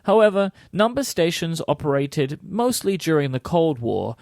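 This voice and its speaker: A man's voice: on the high side, very animated, moderate pace, darkish tone, no echo, clean studio sound. A man co-hosting a podcast, switching between scripted explanation and teasing banter. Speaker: podcast co-host.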